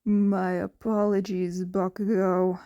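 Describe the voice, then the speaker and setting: Smug, unhurried supervillain man for a story reading, played by a female narrator; polite on the surface, menacing underneath, measured delivery. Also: medium pitch, dry recording, highs cut off, voice pushed down. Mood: calm menace. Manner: calm, mock-apologetic.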